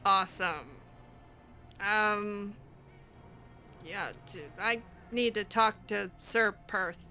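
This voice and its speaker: A woman doing casual, in-character video game commentary, chatting and thinking out loud as she plays. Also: mid-range voice, very slow, faint music behind. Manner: chatty, musing aloud. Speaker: gamer narrating a roleplay playthrough.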